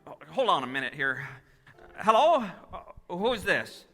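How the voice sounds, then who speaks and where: An actor playing a frantic young family man in a radio play, with a stammering, excitable delivery. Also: high voice, a little slow, faint music behind, full character voice. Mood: angry. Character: frantic, hot-tempered young family man at breaking point. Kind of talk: 1940s radio play acting. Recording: reverberant hall, live stage taping.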